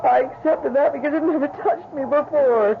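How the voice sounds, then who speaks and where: A woman's voice: sits high, moderate pace, creaky, background noise. An actress playing a stubborn, bereaved middle-aged mother in a 1970s radio drama, with an emotional, insistent delivery. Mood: anguished, defiant. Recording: dull lo-fi old radio broadcast.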